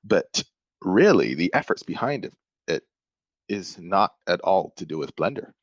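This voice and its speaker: A man's voice: low-pitched, very animated, unhurried, dry recording, highs cut off. A man from Malaysia, a podcast guest, explaining things thoughtfully in casual talk, often restarting his sentences. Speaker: podcast guest, software developer. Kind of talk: casual interview chat.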